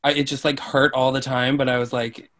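A man co-hosts a casual chat show in a medium-pitched voice. He talks loosely and sympathetically, as in a conversation among friends. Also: flat delivery, a little fast, fairly bright tone. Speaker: podcast co-host.

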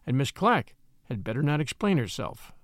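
A man voicing a family lawyer who speaks in a measured, kindly, formal way. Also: mid-range voice, big pitch swings, no room sound, clean studio sound, lightly acted.

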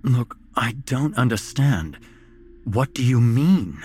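A man's voice: mid-range voice, slow pace, husky, voice pushed up. A man doing a frightened, panicky partygoer. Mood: pleading. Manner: begging, then bellowing in panic. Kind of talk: horror story reading.

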